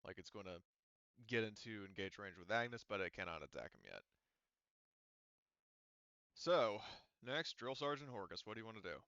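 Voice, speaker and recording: A man acting as game master of an online tabletop roleplaying game, explaining rules in a halting, deliberate way over a voice chat. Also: lowish voice, lively intonation, sharp tone, clear, resonant tone, no room sound, lo-fi sound. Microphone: online voice call microphone.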